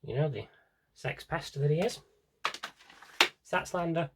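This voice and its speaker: A man's voice: on the high side, expressive, measured pace, vocal fry, slight background noise. A British man chatting casually and unscripted as he shows off a collection, rambling, correcting himself and throwing in dry, offhand humour. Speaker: video blogger showing an audio drama CD collection.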